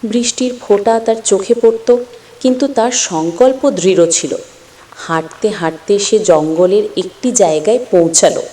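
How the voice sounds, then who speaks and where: একজন নারী কথক লিখিত গল্প বর্ণনা করছেন।. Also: female voice, medium pitch, unhurried, dark tone, big-room sound. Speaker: narrator. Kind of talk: scripted story narration.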